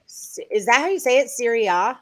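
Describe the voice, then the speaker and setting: A woman's voice: fairly high pitch, expressive, brisk, a bit bright. A woman hosting a betting show, chatting casually and asking her guest questions. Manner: chatty, asks questions, laughs easily. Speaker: show host.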